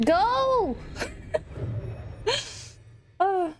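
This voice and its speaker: A woman hosting a gaming video, chatting casually and slowly as she reacts to the game aloud. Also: very high voice, lively intonation. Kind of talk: casual let's play commentary with dialogue read aloud.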